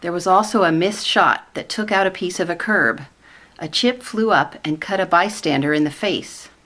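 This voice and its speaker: A woman's voice: on the low side, moderate pace, a bit bright. A woman playing a knowing, skeptical government colleague in a sitcom radio play, dry and insistent.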